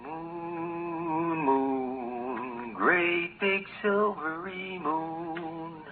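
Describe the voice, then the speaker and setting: An actor playing a carefree, absent-minded young scientist radioing from the moon, rambling in a singsong way, in 1950s radio drama style. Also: male voice, a little high, fairly even intonation, extremely slow, music in the background. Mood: playful. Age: young adult. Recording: tinny, band-limited, hissy 1950s radio recording.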